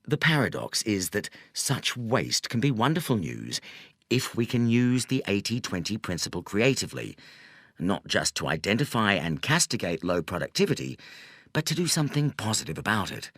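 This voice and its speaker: Low-pitched man narrating with lively intonation. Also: normal speed, no echo, studio quality. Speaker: audiobook narrator. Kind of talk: scripted audiobook narration of a self-help business book.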